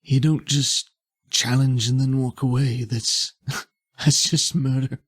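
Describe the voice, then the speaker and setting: A man playing a bitter, guilt-ridden exile in a tabletop roleplay, with a husky voice and a terse, brooding, pointed delivery. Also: mid-range voice, fairly even intonation, takes it slowly. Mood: guarded, regretful. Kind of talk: improvised tabletop roleplay dialogue.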